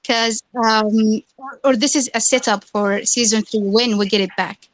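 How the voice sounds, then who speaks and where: A woman's voice: a little high, steady tone, unhurried, no room sound, low-quality recording. A woman hosting a live fan chat, eager and chatty, stumbling over her words. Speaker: host of a fan audio chat.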